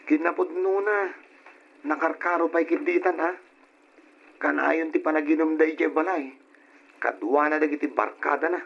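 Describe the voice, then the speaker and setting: A man's voice: on the high side, fairly even intonation, normal speed, creaky, long pauses, slightly rough. A man playing a respectful, troubled young grandson in a radio drama, complaining earnestly about his home life. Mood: earnest, aggrieved. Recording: dull, lo-fi old radio recording.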